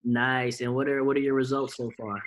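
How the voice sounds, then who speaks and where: A man's voice: medium pitch, little pitch movement, brisk. A man hosting a team video call, talking in a loose, slangy way and firing off rhetorical questions to motivate the group.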